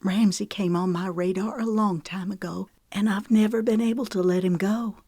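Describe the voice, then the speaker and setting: A woman voicing a frightened, tearful young woman who speaks in a hushed, trembling way, in an audiobook reading. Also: mid-range voice, steady tone, normal speed, breathy edge, dry recording, studio quality, voice pushed up. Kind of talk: audiobook character voice.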